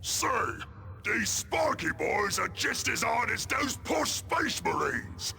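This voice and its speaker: A man playing a brutish, boisterous ork in a video game, with a gravelly Cockney voice and crude, ungrammatical, bellowing speech. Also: very high voice, sing-song, slow pace, soft background music, heavily acted. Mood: gleeful, belligerent. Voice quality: gravelly, shouty. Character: brutish, boisterous male ork warboss and his gang. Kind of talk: video game cutscene acting.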